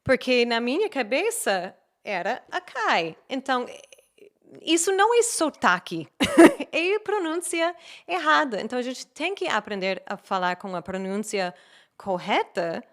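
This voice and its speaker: A woman's voice: a little high, measured pace. A woman from the United States, a native English speaker with an American accent, explaining language tips in a casual conversation. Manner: animated, explains with examples. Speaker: guest, English teacher.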